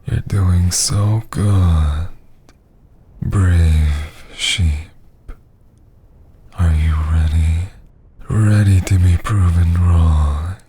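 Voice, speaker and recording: Man voicing a low, breathy, seductive vampire lord. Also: fairly even intonation, warm tone, heavily acted. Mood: taunting, seductive. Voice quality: breathy, husky, often half-whispered. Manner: slow, teasing, condescending drawl. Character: seductive, domineering male vampire lord, menacing and condescending. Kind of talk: intimate ASMR roleplay.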